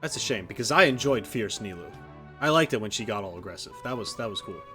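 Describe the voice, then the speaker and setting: A man's voice: fairly low pitch, lively intonation, quick pace, pushed and bright, faint music behind. A man giving casual, wry, joking commentary as he plays a game. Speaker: gaming video host.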